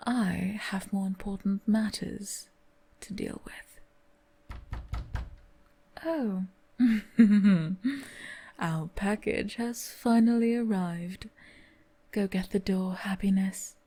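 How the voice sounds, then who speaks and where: Brief: woman, icy commanding whisper, breathy, mellow, unhurried. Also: medium pitch. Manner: cold, commanding, often whispered. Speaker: channel host acting a cold manor mistress. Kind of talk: ASMR roleplay acting.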